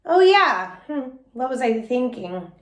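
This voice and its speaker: Woman doing an eager, high-voiced schoolgirl playmate. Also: very animated, slow pace, slight background noise, exaggerated voice. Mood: sheepish. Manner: eager, childlike. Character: bossy, helpful little schoolgirl playmate proposing ideas. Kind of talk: children's storybook read-aloud. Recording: echoey room.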